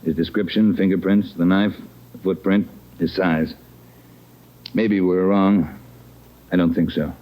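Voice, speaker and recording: A man's voice: deep voice, steady tone, unhurried, pauses often. An American man playing a grim, businesslike police captain in a 1940s radio crime drama, clipped and authoritative. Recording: dull, band-limited old radio recording.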